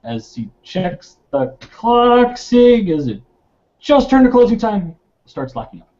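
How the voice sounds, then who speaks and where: A man's voice: sits high, big pitch swings, slow, darkish tone. A man narrating his character's actions in an improvised tabletop roleplaying session. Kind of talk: improvised third-person game narration. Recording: dull lo-fi sound.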